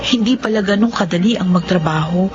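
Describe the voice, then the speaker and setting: Actress playing a determined young Filipina daughter, muffled audio. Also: female voice, medium pitch, a little fast, music in the background, natural voice. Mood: reflective, earnest. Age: young adult. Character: determined, hopeful young eldest daughter, family breadwinner. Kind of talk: Filipino radio drama acting and narration.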